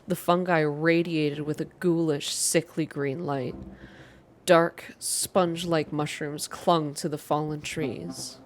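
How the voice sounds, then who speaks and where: A woman's voice: deep voice, fairly even intonation, slow pace, a little hiss or hum. A woman hosting a reading channel, speaking in an ASMR style for her listeners. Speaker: video game reader and channel host. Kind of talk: ASMR narrated reading and casual updates to viewers.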